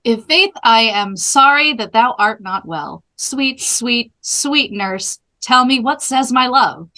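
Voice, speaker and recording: A woman reading the part of an impatient, pleading, lovestruck young girl from a Shakespeare play in measured verse. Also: a little high, lively intonation, pushed and bright, heavily acted. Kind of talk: Shakespeare play read aloud.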